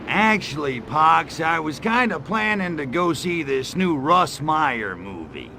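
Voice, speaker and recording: A man playing a cocky, crude, trigger-happy alien invader in a video game, with a gravelly voice and a sarcastic drawl. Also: fairly high pitch, sing-song, slow, bright, forceful tone, a little hiss or hum, exaggerated voice. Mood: cocky, joking. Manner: sarcastic, smart-alecky drawl. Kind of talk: video game cutscene acting.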